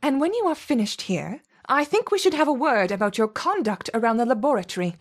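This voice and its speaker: A stern female laboratory supervisor in a video game. Her high voice moves up and down a lot, curt and bossy, used to giving orders. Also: sing-song, fairly quick. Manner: curt, authoritative. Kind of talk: video game character acting.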